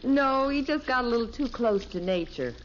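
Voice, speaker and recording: Actress as practical 1950s radio wife and mother, calm, dryly amused, muffled vintage recording with clear noise. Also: on the high side, moderate pace, lightly acted. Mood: wry, amused. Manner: gently teasing, matter-of-fact. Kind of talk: 1950s radio sitcom acting. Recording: dull noisy old radio, audience behind.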